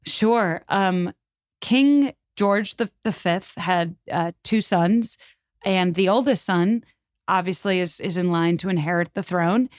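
A woman with a slightly low voice chats as a podcast guest in a very colloquial, animated manner. She explains history casually, peppering it with "like" and acted-out asides. The sound is close and dry. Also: slow pace, no echo. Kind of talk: casual conversational commentary on history.

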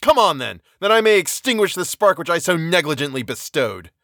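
A man's voice: mid-range voice, moderate pace, fairly bright tone, no room sound, studio quality, close to an everyday voice. A man voicing a furious young male scientist with raised, declamatory outbursts in an audiobook reading.

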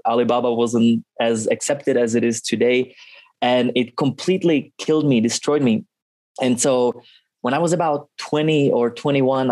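A man from the Czech Republic in his twenties with a Czech accent, a non-native English speaker telling his story as a podcast guest, halting with many fillers. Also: medium pitch, darkish tone. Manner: halting, many fillers and restarts, laughs often. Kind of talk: casual podcast interview.

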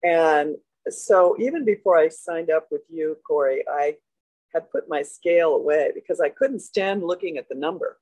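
A woman in her seventies, a guest talking candidly and a little haltingly about her experience. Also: deep voice, darkish tone, no room sound, poor audio. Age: 70s. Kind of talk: interview.

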